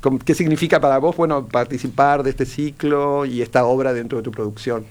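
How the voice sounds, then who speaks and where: A man with a Rioplatense Spanish accent hosting a live radio interview in an informal, chatty, slightly halting way. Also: mid-range voice, steady tone, a little mellow.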